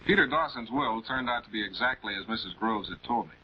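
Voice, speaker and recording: A man's voice: fairly low pitch, fast, close to an everyday voice. An actor with an American accent playing an authoritative male public prosecutor in a 1940s television crime drama. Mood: matter-of-fact. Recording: muffled, noisy old 1940s film soundtrack.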